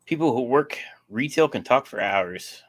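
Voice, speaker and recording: A man's voice: medium pitch, animated, unhurried. A young man casually hosting a live stream, rambling and answering chat, his voice getting sore.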